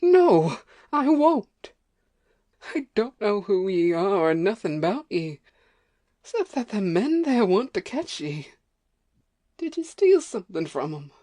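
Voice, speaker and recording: A woman's voice: mid-range voice, sing-song, slow pace, warm tone. A woman playing a weary, stubborn, ignorant farm wife with a rural American accent, scolding in folksy dialect in an audiobook play. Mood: angry refusal. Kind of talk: amateur audiobook play acting.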